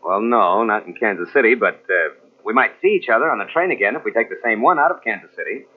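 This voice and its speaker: An actor playing a smooth-talking crook who poses as a government agent in an old-time radio drama, breezy, ingratiating and confiding. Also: male voice, fairly low pitch, lively intonation, fast talker. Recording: tinny old radio recording from cassette.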